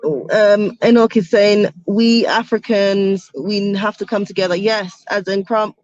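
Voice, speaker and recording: A woman's voice: medium pitch, fairly even intonation, a little slow, a little mellow, no room sound, muffled recording. A young woman chatting casually on a livestream from a car, reading viewer comments aloud. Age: young adult. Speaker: vlogger. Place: inside a car on a road trip.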